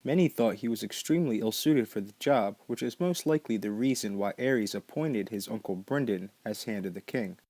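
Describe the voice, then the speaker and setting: A man's voice: on the low side, a little fast, hardly any pauses, warm tone, no room sound. A man hosting a podcast, reading scripted narration. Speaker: podcast host.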